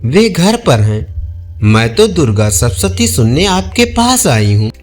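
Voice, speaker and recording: A man voicing a calm, sweet little girl who is a goddess in disguise in an audio devotional tale. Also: on the high side, a little slow, faint music behind.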